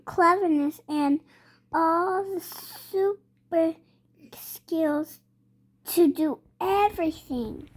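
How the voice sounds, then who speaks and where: A child reading a storybook aloud haltingly, sounding out words and repeating them. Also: very high-pitched. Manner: hesitant, sounding out words, repeating after prompts. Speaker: young reader.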